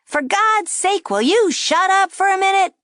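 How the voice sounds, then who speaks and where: A brash wooden dummy with a cheeky, nasty streak, as a woman puts him on, pitched high and loud above the table talk. The voice swoops around with mocking lilts, and every insult is thrown out for the whole room to hear. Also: lively intonation, unhurried, no echo, studio quality. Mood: taunting. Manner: loud, taunting, jeering. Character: rude brash male ventriloquist's dummy, insulting.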